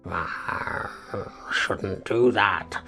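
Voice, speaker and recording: A man voicing a very old, grumbling frog in a storybook, with a deep, hoarse, croaky voice and a slow, muttering rustic drawl. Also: pushed and bright, faint music behind, exaggerated voice. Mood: grumbling, sluggish. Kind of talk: dramatized audiobook character acting.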